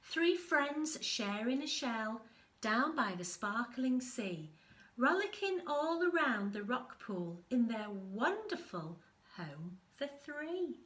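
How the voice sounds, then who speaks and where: A woman reading a picture book aloud to young children, expressively, pausing to explain things as an infant school storyteller. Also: a little high, sing-song, a bit bright.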